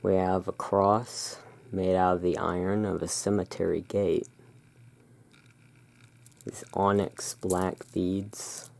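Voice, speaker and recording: A soft-spoken ASMR performer playing the courteous owner of a gothic curiosity emporium, speaking in a hushed, deliberate way. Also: low-pitched, flat delivery, vocal fry. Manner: slow, deliberate, hushed sales patter. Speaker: roleplaying shop owner, ASMR host.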